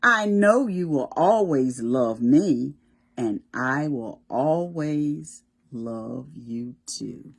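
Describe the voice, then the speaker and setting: A woman reading aloud as a gentle, loving mother bunny from a picture book, in a soft sing-song voice. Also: extremely low pitch, animated, drawn-out words, gravelly, husky, pitched below the performer's own voice, full character voice. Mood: tender.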